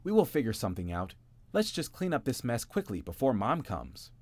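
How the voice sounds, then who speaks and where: A sensible oldest boy bunny, calm and rule-following, as a man voices him in a bedtime storybook. His voice sits a touch higher than a grown man's and sounds close and dry. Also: brisk, no room sound. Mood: reassuring.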